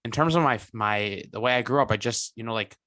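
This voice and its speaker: A man's voice: medium pitch, fairly quick, pushed and bright, no room sound, clean studio sound. A man in his twenties, a guest on an interview podcast, talking earnestly over a video call with many restarts. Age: 20s. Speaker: guest entrepreneur.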